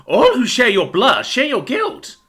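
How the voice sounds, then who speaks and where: A man's voice: extremely high pitch, wildly swooping pitch, unhurried, bright, forceful tone, voice pushed up, heavily acted. A man voicing a crazed, vengeful father mouse in a story, ranting in a righteous, theatrical way. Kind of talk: dramatic storybook character voice.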